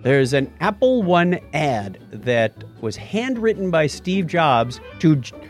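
A man's voice: on the high side, big pitch swings, takes it slowly, soft background music. A man hosting a podcast, chatting casually and playfully about tech history. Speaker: podcast host.

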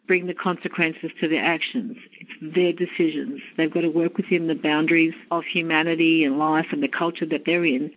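A woman with an Australian accent, speaking as an education coordinator in a podcast interview, explaining her work in an earnest, measured way. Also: on the low side, no echo, muffled recording. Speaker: education coordinator at a maternity home.

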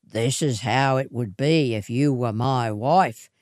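A woman voicing a scruffy male wanderer and suitor in a storybook, boastful and coaxing, with measured delivery. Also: extremely low pitch, a little mellow, no echo, clean studio sound, voice pushed down. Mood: assured. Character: scruffy swagman suitor, boastful, unhurried, persistent.

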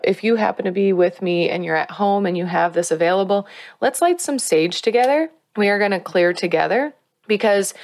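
Low-voiced woman teaching gently. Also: no room sound. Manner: earnest and coaching, slows down to stress key words. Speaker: spiritual teacher and author. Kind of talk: guided spiritual teaching.

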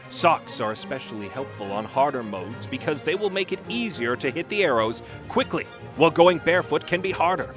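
A man gives a mock-serious, theatrical dramatic reading of how-to tips in a deliberate way. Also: on the high side. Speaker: reader of a comic dramatic reading. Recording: music playing behind the voice.

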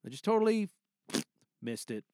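A man, chatting as a podcast host in an animated, jokey manner. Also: sits high, moderate pace, dry recording.

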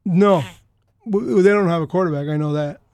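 Man, radio talk host, high-pitched, dry studio sound, teasing and joking. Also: moderate pace, a little mellow, smooth, dry recording. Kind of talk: casual radio chat.

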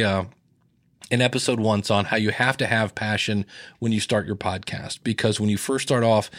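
A man's voice: no room sound, clean studio sound. Chatty man telling podcast stories. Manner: storytelling, animated with rising pitch in quoted lines. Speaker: podcast guest. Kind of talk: casual interview talk.

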